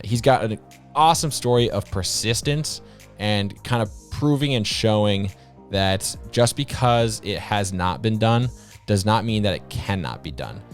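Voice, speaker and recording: A man hosting a podcast, interviewing in an informal, conversational way with colloquial phrasing. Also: fairly low pitch. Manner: informal, says dude and like. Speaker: podcast host. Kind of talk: casual interview.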